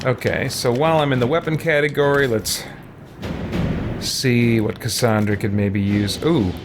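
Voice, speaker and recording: A man's voice: medium pitch, steady tone, moderate pace, noisy background. A man hosting a gaming video, giving casual let's play commentary and thinking aloud as he goes. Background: game music.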